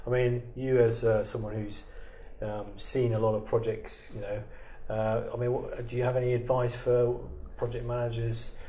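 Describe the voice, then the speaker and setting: A man's voice: mid-range voice, flat delivery, moderate pace, darkish tone, echoey room. A man interviewing a guest, building long questions full of "um" and "I mean". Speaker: interviewer, editor for an IT institute.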